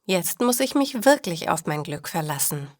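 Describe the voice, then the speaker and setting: A woman's voice: fairly low pitch, very animated, moderate pace, no echo, studio quality. Woman voicing a breezy, overconfident teenage schoolgirl. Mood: rueful, hopeful.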